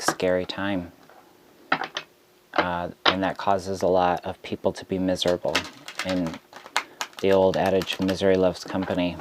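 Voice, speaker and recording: A man who reads tarot, speaking straight to camera in a frustrated rant, deliberate and halting with frequent ums. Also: low voice, heavy background noise. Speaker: tarot reader.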